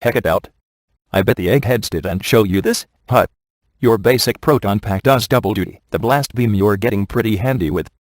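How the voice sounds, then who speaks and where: A male actor plays a sarcastic, laid-back ghost hunter from a video game. His voice sits low and is recorded close and dry. He talks in glib wisecracks with a sardonic edge. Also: normal speed, no echo, lightly acted. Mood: casual, cocky. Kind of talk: scripted video game character dialogue.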